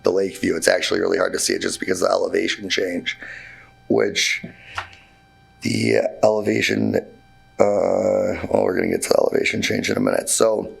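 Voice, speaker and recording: A hoarse man with a very low, deeply gravelly voice speaks in a meeting room. His talk is halting and informal, full of fillers and restarts, and his voice sounds husky and nearly gone. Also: very animated, poor audio. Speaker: contractor presenting to a zoning board. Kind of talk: informal presentation at a public meeting.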